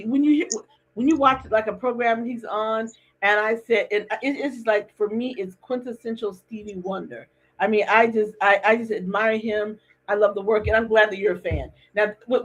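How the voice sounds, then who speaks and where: A woman's voice: mid-range voice, brisk, a bit bright, poor audio. A woman hosting a casual interview, talking in a chatty way with lots of restarts and repeated words. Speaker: podcast host. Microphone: remote streaming call.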